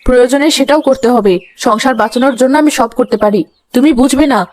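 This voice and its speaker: A woman's voice: sits high, fast, a little mellow, natural voice. A woman voicing a suspicious, snooping mother-in-law in a comedy cartoon, dramatic and accusatory. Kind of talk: Bengali cartoon comedy acting.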